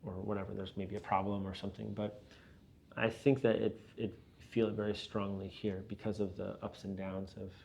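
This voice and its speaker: Thoughtful middle-aged man, fairly low voice. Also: flat delivery, moderate pace, vocal fry, darkish tone. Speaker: business and church leader being interviewed. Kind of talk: casual reflective interview talk.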